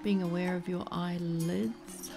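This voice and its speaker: Woman doing a guided meditation, really slow n gentle, soft mellow voice, faint music behind her. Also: fairly low pitch, flat delivery, creaky. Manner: soothing, gentle, unhurried, with pauses between phrases. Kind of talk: guided body scan meditation.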